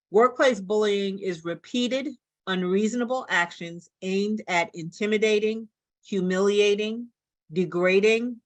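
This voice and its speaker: A woman speaks as a staff presenter at a workshop. Her pitch sits in the middle for a woman, and she restarts phrases while pressing her points emphatically. The sound is dry, with little echo.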